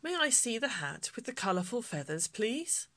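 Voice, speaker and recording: A woman voicing a polite young girl in a picture book read-aloud, raising her voice high for the part. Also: very animated, normal speed, fairly bright tone, full character voice. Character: young girl shopping for a hat.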